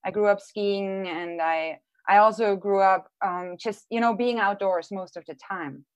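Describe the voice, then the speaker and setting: A woman's voice: mid-range voice, measured pace, no room sound, lo-fi sound. Austrian-born woman presenting over a video call. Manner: thoughtful, with frequent ums and restarts. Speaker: artist giving a live virtual talk. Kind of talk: casual presentation. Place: small room at home. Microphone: earphone headset on a video call.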